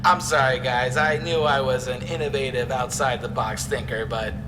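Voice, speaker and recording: An actor playing a gloating, grandiose villain in an audio drama, smug and florid in manner. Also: male voice, mid-range voice, fairly even intonation, normal speed, background noise, lightly acted. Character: gloating, grandiose male villain taunting trapped victims.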